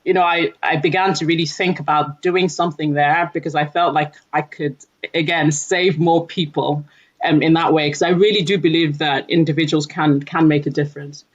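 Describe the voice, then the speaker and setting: A woman's voice: low-pitched, steady tone, normal speed, husky. A woman from Nigeria talking earnestly about her background and career in a podcast conversation, with frequent fillers. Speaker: environmental lead at a company. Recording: dull lo-fi audio.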